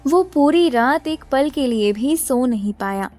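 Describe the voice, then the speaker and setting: Casting: female story narrator, fairly high voice, scripted drama delivery, some music beneath. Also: expressive, measured pace, a little mellow.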